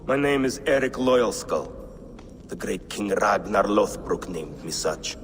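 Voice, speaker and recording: A man's voice: fairly low pitch, lively intonation, measured pace, big-room sound, a little hiss or hum. An actor playing a boastful, grandiose Viking warrior seeking his destiny in a video game, with a declamatory way of talking. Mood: proud boasting. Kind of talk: video game dialogue acting.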